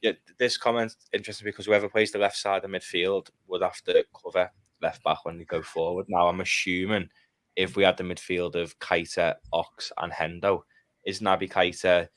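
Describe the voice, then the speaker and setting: A man's voice: low-pitched, flat delivery, moderate pace, a bit bright, no room sound. A man, chatting casually and bluntly about football on a live fan stream. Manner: blunt, opinionated, swears. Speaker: podcast panelist.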